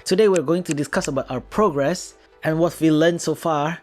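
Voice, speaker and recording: A man's voice: on the high side, normal speed, soft background music. A man from Indonesia with an Indonesian accent, co-hosting a casual podcast chat and steering the talk with plain explanations. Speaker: podcast co-host.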